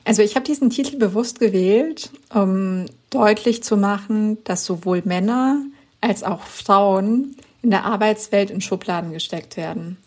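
A woman's voice: medium pitch, measured pace, darkish tone. A woman author being interviewed on a podcast, explaining her views in an earnest, emphatic way. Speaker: author guest.

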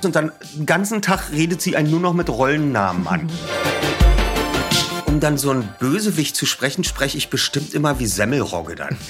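A man's voice: a little high, very animated, normal speed. A man telling casual anecdotes as a voice actor, playfully demonstrating as he goes. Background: music.

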